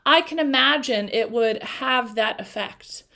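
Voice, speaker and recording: A woman's voice: on the high side, measured pace, vocal fry, bright, forceful tone, heavily acted. A woman voicing a bold, unafraid young woman in a storybook, speaking in a dry, direct way. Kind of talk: storybook read-aloud.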